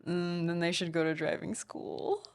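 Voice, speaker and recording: A woman's voice: lowish voice, little pitch movement, normal speed, smooth. A young adult woman speaking as a guest in a casual, conversational debate.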